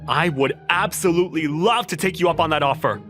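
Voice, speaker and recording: A man playing an exasperated, awkward teenage schoolboy, telling his story in an expressive, incredulous manga dub style. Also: fairly high pitch, a little fast, natural voice. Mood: wry narrating. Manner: expressive, incredulous, self-talking. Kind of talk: manga dub narration and dialogue. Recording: music behind the voice.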